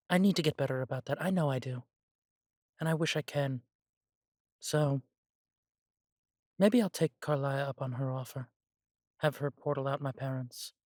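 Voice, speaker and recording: Man playing a humble, gentle elf shopkeeper, dry close sound. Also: mid-range voice, no echo, lightly acted. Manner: gentle, chatty, self-deprecating. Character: immortal elf man, humble self-doubting coffee shop owner. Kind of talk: fantasy roleplay audio drama acting.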